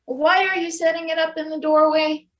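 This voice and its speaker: A woman's voice: very high-pitched, level tone, normal speed, a bit bright, dull audio. A woman playing a mild, worried male maintenance worker in a live play reading, hesitant and questioning, in an echoing room. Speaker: actor. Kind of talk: live table read of satirical play.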